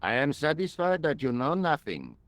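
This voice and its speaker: A man playing a pompous, self-important French police detective, speaking deliberately with a French accent in a video game. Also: on the high side, big pitch swings, a bit bright, no room sound. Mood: grave, self-assured. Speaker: police inspector.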